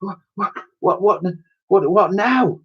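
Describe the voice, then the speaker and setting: A man's voice: high voice, slow pace, a bit bright, breathy edge, highs cut off, voice pushed up, heavily acted. A man voicing a brave young girl in a storybook adventure, exclaiming in a startled, breathless way. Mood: flustered.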